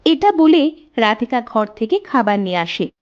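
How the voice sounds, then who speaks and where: A woman's voice: on the high side, big pitch swings, moderate pace, dull audio. A woman narrating a scripted fairy tale for children.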